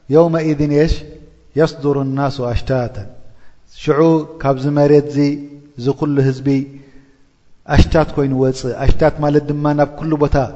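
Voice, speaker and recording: A man's voice: fairly high pitch, mellow. A man giving a religious lesson, intoning verses and explaining them, often checking in with rhetorical questions to his listeners. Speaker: Islamic preacher explaining a Quran chapter. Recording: dull lo-fi recording with long reverb.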